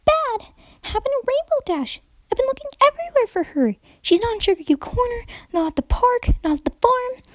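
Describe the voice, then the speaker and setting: A shrill, hyper pink pony mare who rambles at high speed, her voice jumping all over the place. She sounds like a storybook cartoon pony, dry and close to the mic. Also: no echo. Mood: urgent, excited. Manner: rapid, excited rambling.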